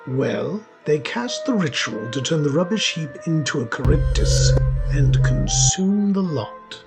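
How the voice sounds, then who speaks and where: A man's voice: on the high side, sing-song, unhurried, creaky. Male story narrator over music.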